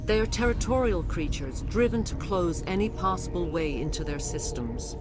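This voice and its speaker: Woman playing a solemn alien matriarch, low-pitched, music behind. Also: animated, close to an everyday voice. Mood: grave, measured. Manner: grave, measured, formal. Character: regretful middle-aged alien mother fighting mind control, solemn. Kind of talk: video game voice acting.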